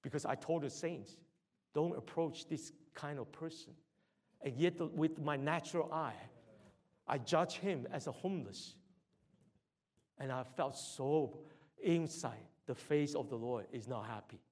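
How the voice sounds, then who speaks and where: Man with a fairly high voice, halting testimony. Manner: halting, repeats words, rises to loud exhortation. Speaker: church brother giving a testimony. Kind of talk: sermon testimony.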